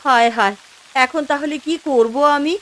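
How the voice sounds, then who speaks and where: A woman's voice: high voice, normal speed, fairly bright tone. A woman voicing a worried, dutiful poor village wife and mother in a cartoon, speaking in a plaintive, homely way. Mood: distressed. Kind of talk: Bengali fairy-tale cartoon voice acting.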